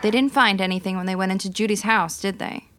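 A woman's voice: medium pitch, fast, fairly bright tone, slight background noise, close to an everyday voice. A woman playing a talkative, folksy 1950s housewife neighbor in a comedy radio play. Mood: friendly.

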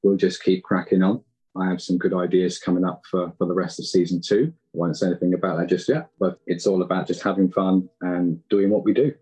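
A man chats casually as a guest on a podcast. His voice is low and rough-edged, with an easy conversational flow. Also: little pitch movement, brisk, dark tone, poor audio. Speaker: podcast guest, indie audio drama creator. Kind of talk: casual interview chat.

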